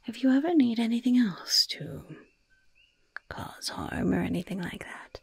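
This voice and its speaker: A woman's voice: fairly high pitch, expressive, measured pace, no echo, heavily acted. A woman voicing a sly, gleefully wicked goblin shopkeeper in a whispered ASMR roleplay, with polite, chatty patter and repeated words. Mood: slyly evasive. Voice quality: breathy, husky whisper with gravelly creak.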